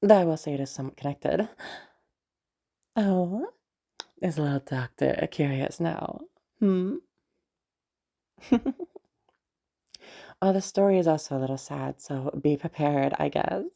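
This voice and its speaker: A woman playing a teasing, flirtatious, unhinged patient in a roleplay audio drama, rambling hesitantly with many pauses and hums. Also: deep voice, gravelly, dark tone, full character voice. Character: teasing, rambling, flirtatious crazy female patient confessing to her doctor. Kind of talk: roleplay audio drama, intimate one-sided conversation.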